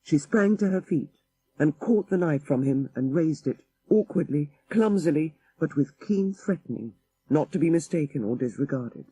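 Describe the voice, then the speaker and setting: A man's voice: fairly high pitch, animated, moderate pace, warm tone, husky, poor audio. A man narrating a literary ghost story for an audiobook in a dramatic style.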